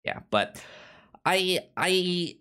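A man's voice: high voice, lively intonation, extremely slow, sharp tone, clear, resonant tone. A man co-hosting a podcast in casual discussion of comics, often repeating and restarting his words as he goes. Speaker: podcast co-host.

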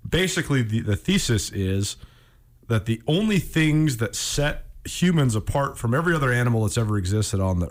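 A man hosting a radio talk show in a reflective, conversational way, musing philosophically and somewhat haltingly. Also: medium pitch.

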